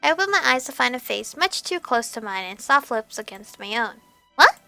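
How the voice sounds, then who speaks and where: A young woman reading a visual novel aloud as a let's player, expressive and animated, with music behind her. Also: on the high side, normal speed, gravelly, no room sound.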